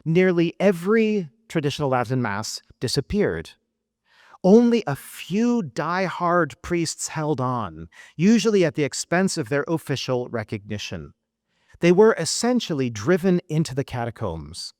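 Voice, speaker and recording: American guy mid-career giving a big speech, really animated pitch, earnest, dry close sound. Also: dry recording. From United States. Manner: earnest, emphatic delivery with measured pauses. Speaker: keynote speaker. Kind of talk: formal speech at a gala. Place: event hall.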